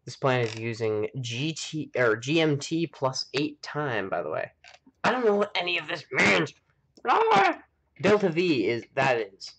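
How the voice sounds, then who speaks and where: A man's voice: on the high side, sing-song, unhurried, a bit bright. An American man hosting a game live stream, chatting casually and rambling as he thinks aloud. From United States. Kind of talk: casual chat while playing a game.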